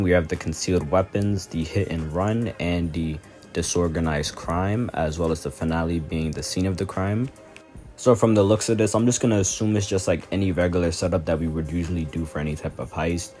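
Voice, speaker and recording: A man with a deep voice hosts a gaming video. He explains things in a casual, conversational voice-over. Faint music plays behind him. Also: darkish tone.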